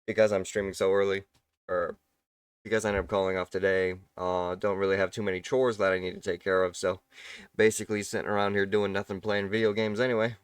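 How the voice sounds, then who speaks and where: A man hosting a live game stream while sick, a little raspy, talking casually and courteously with his viewers and chuckling often. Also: deep voice, little pitch movement, quick pace, no room sound. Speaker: livestream host. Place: at home.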